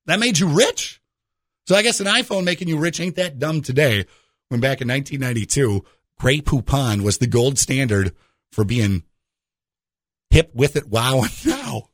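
A man's voice: medium pitch, normal speed, dry recording, studio quality. A man hosting a radio show with a jokey conversational monologue, putting rhetorical questions straight to the listener.

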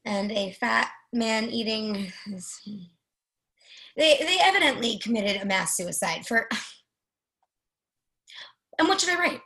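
A woman acting a high-voiced, anxious office worker. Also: expressive, takes it slowly, long pauses, bright, forceful tone, exaggerated voice, low-quality recording. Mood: hesitant, recording. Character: sensitive, anxious female office worker in absurd play. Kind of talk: play reading.

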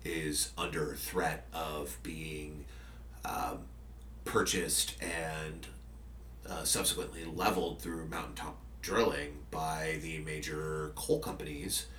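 A very deep-voiced man telling stories from his podcasting work, hesitant and full of fillers and restarts. He sounds roomy and set back from the mic, with faint music underneath. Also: flat delivery, takes it slowly, fairly bright tone, far from the mic, slight background noise. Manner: rambling, many fillers and restarts. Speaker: podcaster and journalist. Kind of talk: casual conversational interview.

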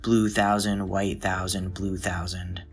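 A man giving a literary poetry reading in a measured, solemn manner, with deliberate pauses between short phrases. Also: low-pitched, level tone, soft background music. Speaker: poetry reader.

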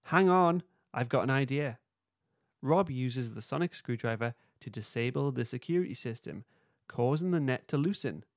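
A man's voice: mid-range voice, expressive, moderate pace, no room sound, clean studio sound. A man hosting a podcast, reading script narration aloud in an amused way. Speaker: podcast host.

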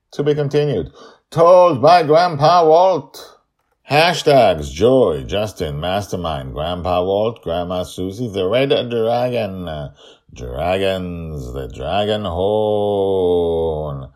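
A man narrating a serial story podcast as its author, with emphatic, deliberate phrasing. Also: low voice, a little mellow.

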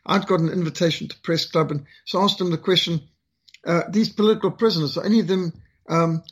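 Older Rhodesian-accented man, husky, fairly high voice, halting earnest storyteller. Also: fairly even intonation. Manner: earnest, halting with frequent fillers. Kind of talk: personal storytelling talk.

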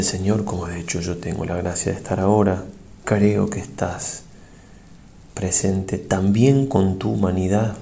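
Slow, low-voiced priest leading prayer. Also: male voice, vocal fry, warm tone, a little hiss or hum. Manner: reverent, measured, pausing between short phrases. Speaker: priest leading a guided meditation. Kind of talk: guided prayer meditation, solemn and devotional.

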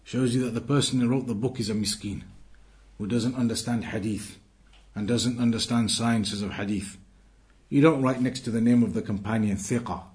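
A man leads a classroom lesson on religious texts, speaking at an ordinary middle pitch. He explains line by line, poses rhetorical questions to his students, and slips into rapid, fluent Arabic whenever he quotes. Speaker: Islamic teacher.

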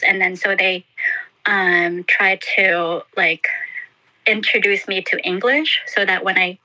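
A woman with a very bright, forceful voice, speaking English as a second language to her native Indonesian. She answers in a relaxed interview, halting often and restarting her sentences. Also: medium pitch, rough recording. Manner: hesitant, many fillers and restarts. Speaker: interview guest. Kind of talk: casual interview.

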